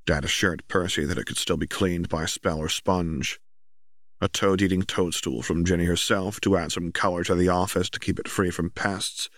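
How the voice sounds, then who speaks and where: A man's voice: low voice, steady tone, moderate pace, fairly bright tone, dry recording, clean studio sound. A man reading a story aloud as scripted audiobook narration.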